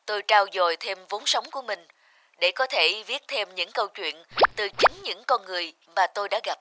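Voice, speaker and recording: A woman playing a gentle, humble mother and novelist in a moral cartoon, earnest, measured and patient. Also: medium pitch, expressive, heavy background noise, lightly acted. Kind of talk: animated moral-tale dubbing. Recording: music behind the voice.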